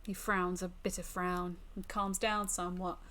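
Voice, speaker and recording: A woman's voice: lowish voice, little pitch movement, moderate pace, fairly bright tone. A woman streamer reading a visual novel aloud as dramatic story narration.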